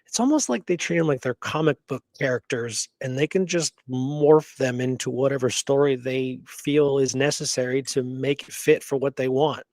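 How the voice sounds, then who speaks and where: A man's voice: mid-range voice, moderate pace, few pauses, a little mellow, no room sound. A middle-aged man with an American accent and a mid-range voice talks in a casual, opinionated way. He is emphatic and colloquial, and the sound is close and dry. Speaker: podcast co-host, history researcher and author. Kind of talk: casual opinionated history chat.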